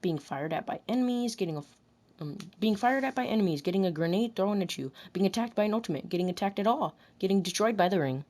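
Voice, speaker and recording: A woman recording scripted commentary about a video game, deliberately delivering lines and often rephrasing them in retakes. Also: medium pitch, animated, darkish tone. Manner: deliberate, restarts and rephrases whole sentences.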